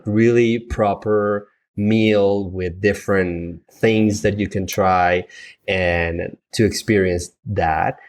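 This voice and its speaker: Colombian man, native Spanish, deep voice, halting casual chatter. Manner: halting, many fillers and restarts. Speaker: travel agent, guest. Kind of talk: casual podcast chat.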